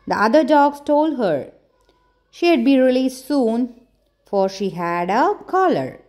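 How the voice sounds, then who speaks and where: Slow, lively woman reading a children's story. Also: high-pitched. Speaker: storyteller, channel host. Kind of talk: read-aloud children's storybook narration.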